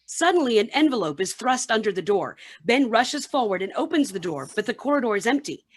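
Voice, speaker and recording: Woman narrator with a slightly high voice. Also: big pitch swings, a little fast. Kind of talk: scripted narration of a radio play.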